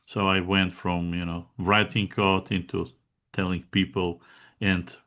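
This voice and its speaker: A man's voice: low-pitched, level tone, a little slow, fairly bright tone. A man from Bulgaria with a Bulgarian accent, a guest chatting casually in an interview, with lots of fillers and restarts. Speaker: guest contributor.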